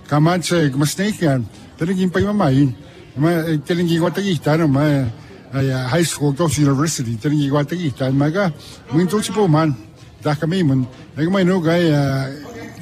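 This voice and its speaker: A man whose first language is Cree, being interviewed as an author, speaking haltingly with many restarts. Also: fairly high pitch, a little mellow. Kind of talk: casual interview talk.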